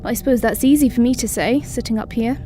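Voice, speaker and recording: A woman's voice: on the high side, fast talker, mellow, faint music behind, natural voice. An actress playing a precise, matter-of-fact female mission controller in orbit, in an audio drama.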